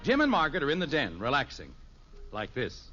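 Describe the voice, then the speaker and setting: Animated American vintage radio announcer, male. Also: medium pitch, a little fast. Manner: polished, emphatic. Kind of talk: 1950s radio announcing, scripted. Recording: dull vintage radio recording. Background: music.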